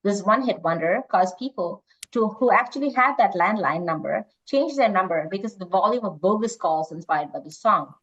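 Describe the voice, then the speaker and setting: A woman presents a quiz, moving between read-out questions and casual banter. Her pitch is average, and the recording is dull and lo-fi. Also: expressive, brisk, few pauses, fairly bright tone. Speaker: quiz host reading questions. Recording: dull lo-fi online call audio.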